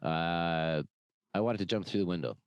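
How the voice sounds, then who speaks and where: Podcast guest: man with a very deep, smooth, loud voice, earnest storyteller, dry close sound. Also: moderate pace, no room sound. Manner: earnest, with frequent "uh" and "um". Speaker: former police officer. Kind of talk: conversational personal storytelling.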